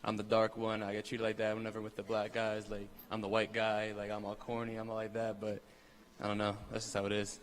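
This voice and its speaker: Young man, rapid flat delivery, easygoing, hall reverb. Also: on the low side. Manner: easygoing, casual slang. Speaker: interviewee.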